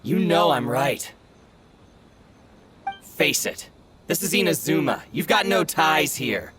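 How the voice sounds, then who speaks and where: Contemptuous street thug, taunting, pitched a little high, very lively. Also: male voice, takes it slowly, noisy background. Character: sneering young male thug, mocking bully. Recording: game audio with clear music behind.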